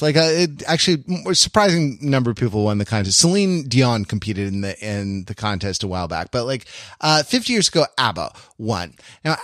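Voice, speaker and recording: A man co-hosting a podcast, chatting casually in animated, rambling explanations. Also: medium pitch, dry recording, studio quality. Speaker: podcast co-host. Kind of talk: casual panel discussion.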